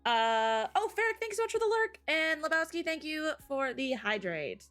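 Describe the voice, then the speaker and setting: Giggly, animated woman streamer. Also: high-pitched, moderate pace, faint music behind. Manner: giggly, bubbly, laughs often. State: still waking up. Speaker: streamer host. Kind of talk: casual live-stream chat.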